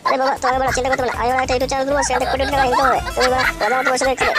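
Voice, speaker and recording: A man voicing a cocky, lazy, foul-mouthed young son in a crude comic cartoon, with a pitched-up voice. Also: flat delivery, rapid-fire. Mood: boastful, defiant. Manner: brash, hot-headed, swearing constantly. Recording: loud music under the voice.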